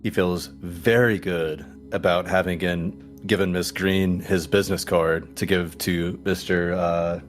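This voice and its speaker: A man's voice: low-pitched, steady tone, measured pace, hardly any pauses. A man casually improvising what his character does as a player in a tabletop roleplaying game. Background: soft music bed.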